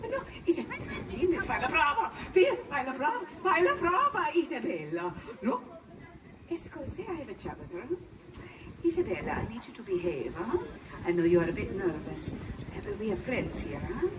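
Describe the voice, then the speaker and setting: A woman's voice: a little high, sing-song, moderate pace. A woman performing as a good-natured old Italian witch, talking to a crowd with a put-on Italian accent and coaxing, playful manner, calling children closer. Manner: playful, coaxing, scolds her broom. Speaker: costumed live performer. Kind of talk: live character storytelling to a crowd. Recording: distant mic, noisy outdoor crowd.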